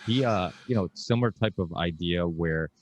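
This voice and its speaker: A man from Portugal hosting a podcast, chatting casually with lots of restarts and animated asides. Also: low-pitched, normal speed. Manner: talkative, animated storytelling with frequent restarts. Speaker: podcast host. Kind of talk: casual conversation.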